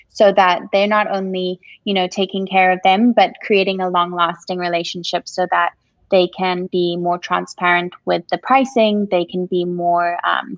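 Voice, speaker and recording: A woman's voice: fairly low pitch, vocal fry, dry recording, dull audio. A woman from Zimbabwe with a Zimbabwean accent, a coffee shop owner talking earnestly as a guest in a casual interview. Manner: warm, earnest, says "absolutely" often.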